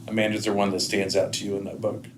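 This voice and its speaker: Deep-voiced American man in noisy recording. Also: monotone, racing. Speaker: podcast guest, head of school. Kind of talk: reflective discussion. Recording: clearly noisy background.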